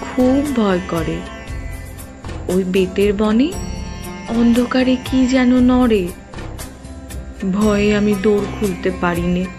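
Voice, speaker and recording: An actress playing a gentle, timid goddess in an audio story, with a hushed, pleading manner. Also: female voice, mid-range voice, takes it slowly, long pauses, natural voice. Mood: fearful. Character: gentle, timid goddess living alone, forgetful of herself. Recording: music under the voice.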